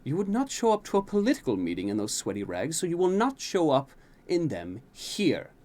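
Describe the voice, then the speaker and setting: A man voicing a strict, emotionless female tutor who speaks flatly and deliberately. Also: fairly high pitch, pitched above the performer's own voice. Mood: stern. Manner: flat, stern, deliberate.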